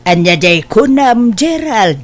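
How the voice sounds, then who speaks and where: A woman's voice: on the high side, big pitch swings, slow pace, music in the background. A woman with an Ilocano accent playing a caring, doting mother of a grown son in a family audio drama. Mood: gentle, supportive. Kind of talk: Ilocano radio-style family drama acting.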